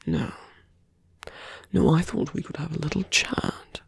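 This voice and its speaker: A suave male villain, acted out by a performer for an intimate ASMR roleplay. He speaks very slowly and deliberately, with heavy gravel and breath in the voice, often dropping close to a whisper. The sound is dry and close, and his manner is teasing, condescending and quietly menacing. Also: mid-range voice, fairly even intonation, dry recording, exaggerated voice. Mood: persuasive, condescending.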